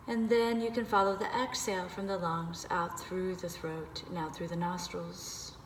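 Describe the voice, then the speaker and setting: A woman leading a guided meditation, giving gentle, unhurried instructions with pauses. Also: on the low side, level tone. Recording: echoey reverberant room with background noise.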